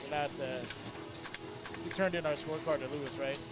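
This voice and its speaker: A man's voice: a little high, fairly even intonation, brisk, over loud music. A man hosting a pinball stream, chatting casually and joking, with rambling restarts. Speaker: stream host.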